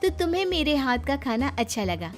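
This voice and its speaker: Woman voicing a sweet, high-pitched younger sister. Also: very animated, a little fast, soft background music.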